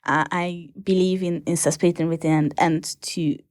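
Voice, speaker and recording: A woman's voice: lowish voice, steady tone, moderate pace, darkish tone, no room sound. Woman, Hungarian accent, hesitant, interview guest, dry close mic. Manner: hesitant, many ums and restarts. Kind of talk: casual interview answers.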